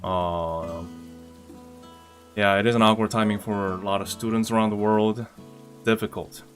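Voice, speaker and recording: A young man from Indonesia chats loosely with his viewers, full of fillers and restarts. His voice sits on the low side. Soft guitar music plays faintly underneath. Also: measured pace. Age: young adult. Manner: relaxed, rambling with fillers and restarts. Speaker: streamer. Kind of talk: casual chat reading viewer comments.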